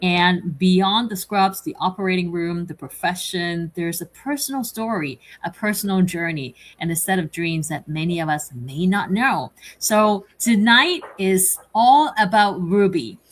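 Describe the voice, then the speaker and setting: A woman's voice: lowish voice, a little slow, few pauses. Upbeat woman hosting a live chat. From Canada. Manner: upbeat, repeats words for emphasis. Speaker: host. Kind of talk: live online interview chat.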